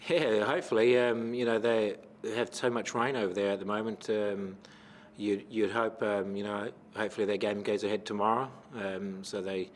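A middle-aged man with an Australian accent, a football coach answering questions at a post-match press conference into a table microphone, measured and full of fillers. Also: lowish voice, level tone, slight background noise. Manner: measured, many fillers and restarts. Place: press conference room with sponsor backdrop.

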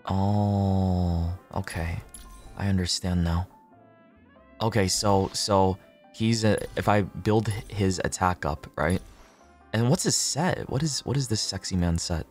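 A man with a deep voice chats to viewers on a gaming livestream. He talks casually, curses freely and repeats himself as he reasons out loud. Soft music plays behind him. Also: takes it slowly. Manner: casual, sweary, repeats himself, thinks aloud.

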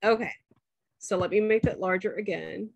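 A woman's voice: mid-range voice, normal speed, creaky, slightly rough. A woman professor walking students through a spreadsheet on a shared screen, chatty and checking in with them often. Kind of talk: screen-share class demo.

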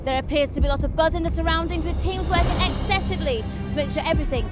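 A woman's voice: very high-pitched, very fast, over loud music. A woman giving a scripted, ominous story narration in a solemn manner.

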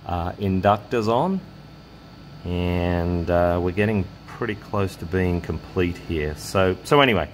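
A man with a low-pitched voice talks through a hands-on electronics demonstration at his workbench. His delivery is casual and halting, as if thinking aloud while he works. A steady noise is clearly audible behind him. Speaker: electronics hobbyist presenter.

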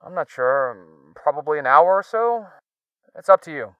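A man's voice: a little high, animated, normal speed, pushed and bright, smooth, dry recording. A man voicing an apologetic, busy young friend making a call in a visual novel game. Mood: apologetic, hesitant.

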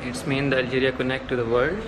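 A man filming a walk-through vlog of an exhibition pavilion, speaking haltingly with many fillers. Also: medium pitch, steady tone, very noisy. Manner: halting, many uh fillers, non-native phrasing. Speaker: vlogger.

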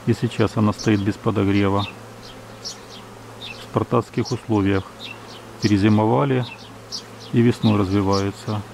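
A man from Ukraine, a beekeeper, calmly narrating outdoors at his hives, reading out times and temperatures in a measured, matter-of-fact way. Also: on the low side, creaky, a little hiss or hum. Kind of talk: calm narration of apiary footage. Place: outdoors at an apiary beside hives. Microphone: camera's built-in mic. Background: buzzing bees.

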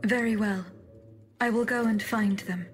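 Actress as a poised clockwork woman, measured and formal. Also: mid-range voice, fairly even intonation, slight background noise, close to an everyday voice. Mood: calm, resolute. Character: female clockwork automaton, poised, dutiful servant of the Queen. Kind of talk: video game cutscene acting.

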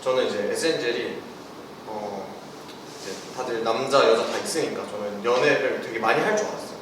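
A man's voice: mid-range voice, fairly quick. A young man in easygoing, chatty conversation on a student Q&A panel. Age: young adult. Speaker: student ambassador. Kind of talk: casual panel Q&A. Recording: reverberant hall, distant mic, noisy.

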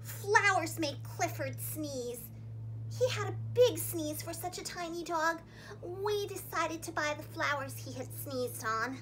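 A young woman reads a story to little children like a preschool teacher. Her voice is very high and swings widely in pitch, with expressive, dramatic phrasing. It is recorded in a room with a faint hum of noise. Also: a little slow, low-quality recording. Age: young adult. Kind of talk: animated read-aloud for young children. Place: small indoor room, seated against a plain wall.

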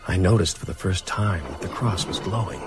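An actor playing a grave man narrating his own horror story in a radio drama, measured and tense. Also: low voice, over loud music, close to an everyday voice.

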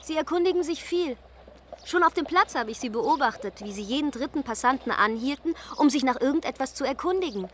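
A woman playing a sharp-tongued, teasing upper-class young daughter in a radio play. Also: sits high, animated, a little fast, slight background noise. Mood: pert, amused.

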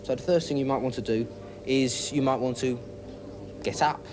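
A man's voice: mid-range voice, fairly even intonation, normal speed. A man giving an informal, slightly hesitant explanation as a game demonstrator. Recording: clear background noise.

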